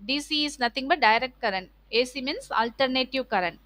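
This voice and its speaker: A woman with a Tamil Indian accent gives an explanatory online lecture in a high voice. She reads out points, then restates them step by step. The sound is close and dry, with little room around it. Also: expressive, fairly quick, fairly bright tone, no room sound. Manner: repeats and rephrases points, frequent "okay" checks. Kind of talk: explanatory online lecture, partly reading slides.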